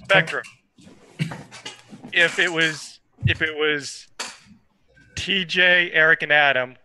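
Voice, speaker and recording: Guy in a game asking someone a pointed question, kinda high, super sharp tone. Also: fairly even intonation, slow pace, low-quality recording. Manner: questioning, directing the talk. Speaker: player. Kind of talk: game discussion.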